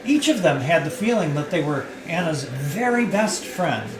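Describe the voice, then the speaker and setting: A man's voice: a little high, sing-song, moderate pace, a crowd in the background. A man reading the part of an earnest, probing friend in a novel, with a questioning manner. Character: earnest, probing, mildly annoyed male friend. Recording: echoey roomy live reading.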